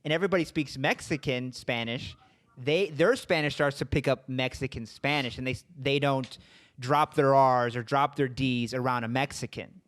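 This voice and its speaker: A man's voice: mid-range voice, fairly even intonation, moderate pace, a bit bright. A man hosting a podcast interview, talking casually with lots of restarts and fillers. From Puerto Rican family, raised in US. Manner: rambling, many restarts and fillers. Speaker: podcast host.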